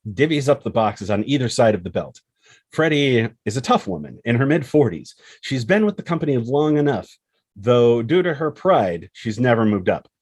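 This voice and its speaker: Male narrator, low, raspy, dry recording, scripted screenplay reading. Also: no echo.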